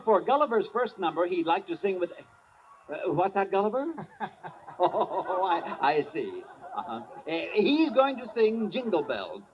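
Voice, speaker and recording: An actor playing a jovial male stage ventriloquist, talking in hesitant, chuckling patter in a 1950s radio drama. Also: sits high. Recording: dull old radio recording with hiss.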